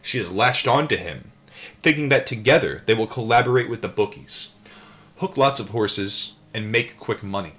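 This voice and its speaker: Low-voiced man reading and riffing. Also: moderate pace, fairly bright tone. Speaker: host reading a book aloud to a live chat. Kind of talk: audiobook-style reading with casual off-the-cuff asides.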